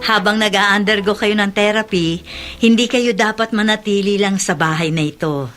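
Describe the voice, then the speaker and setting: A woman's voice: mid-range voice, normal speed, a bit bright, faint music behind, close to an everyday voice. An actress with a Filipino accent playing a dedicated, compassionate, middle-aged woman doctor in a radio drama. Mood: encouraging. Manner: earnest, reassuring.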